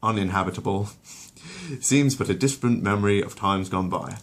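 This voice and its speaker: Storyteller, male, low register, wry mock-literary narration for comedic bedtime tales. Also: animated, normal speed.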